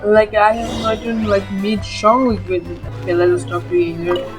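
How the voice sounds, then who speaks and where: A woman's voice: medium pitch, fairly even intonation, normal speed. Rambling woman gamer, casual commentary. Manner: rambling, frequent "like". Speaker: gaming video host. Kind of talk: casual let's-play commentary. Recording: game music behind the voice.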